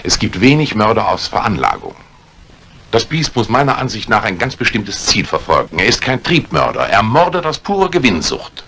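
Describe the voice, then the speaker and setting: An actor playing a brusque, authoritative police inspector in a 1950s German radio crime drama, questioning and giving orders deliberately. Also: male voice, fairly low pitch, sing-song, fairly bright tone. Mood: grave, determined. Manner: probing, commanding, deliberate. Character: brusque, authoritative middle-aged male police detective inspector. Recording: noisy vintage 1950s radio drama recording.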